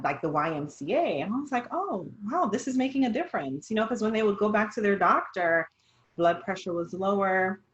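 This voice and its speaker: A woman's voice: lowish voice, muffled recording. A woman in her thirties from Jamaica with a slight Jamaican accent, a dietitian telling her own story in an earnest, conversational way with many fillers. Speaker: dietitian, host. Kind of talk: casual conversational discussion.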